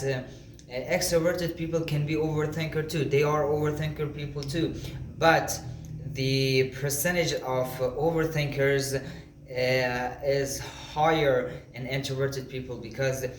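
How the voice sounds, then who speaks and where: A man who speaks English as a second language gives an informal motivational talk in an earnest, halting, repetitive way. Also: mid-range voice. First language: not English (second language). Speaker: motivational content creator. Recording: echoey room with faint background noise.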